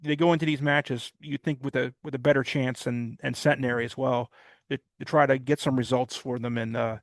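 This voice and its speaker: Gravelly, monotone man hosting a sports show. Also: mid-range voice, no echo, studio quality. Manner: rambling, with many "uh" pauses. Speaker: podcast host. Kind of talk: casual sports recap chat.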